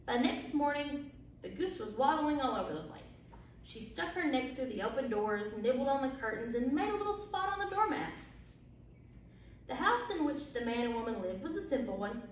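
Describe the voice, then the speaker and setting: A woman storyteller reading a bedtime story aloud in an expressive way, with the odd small stumble. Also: sits high, moderate pace. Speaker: library storyteller. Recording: very echoey, distant, faint background noise.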